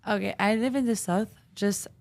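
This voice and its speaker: Teen girl, careful halting English, podcast guest. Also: medium pitch, creaky, a little mellow. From Belgium. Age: teens. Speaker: exchange student guest. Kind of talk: casual chat. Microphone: studio mic on boom arm.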